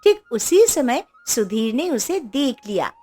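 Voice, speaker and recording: A woman narrator telling a children's fable in a high, very lively voice. She takes her time over each scripted sentence, with music playing underneath. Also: slow pace.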